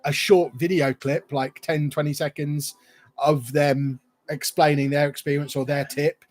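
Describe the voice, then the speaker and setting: A man's voice: a little high, level tone, unhurried. A man, casually chatting and answering viewer questions on a livestream. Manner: chatty, rambling, reads viewer questions aloud. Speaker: YouTuber hosting a livestream Q&A.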